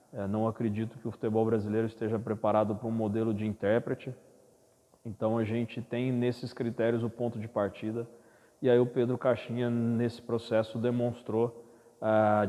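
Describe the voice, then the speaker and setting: Brazilian man, football executive, formal and measured, dark voice with reverb trailing. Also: medium pitch. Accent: Brazilian Portuguese. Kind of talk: interview answers.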